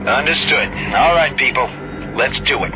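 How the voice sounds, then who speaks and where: An actor playing an intense male fighter squadron leader giving clipped, forceful commands in a space combat game. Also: medium pitch, moderate pace, close to an everyday voice, poor audio. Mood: tense, focused. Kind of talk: scripted game combat radio chatter. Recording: game music and vehicle noise behind.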